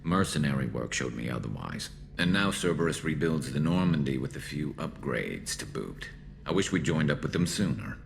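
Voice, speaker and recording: A man voicing a battle-scarred alien soldier in a video game, loyal and dryly wry, with a gravelly voice. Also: lively intonation, moderate pace, exaggerated voice. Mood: dry, steady. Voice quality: gravelly, very deep. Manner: dry, wry, clipped. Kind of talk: video game dramatic acting.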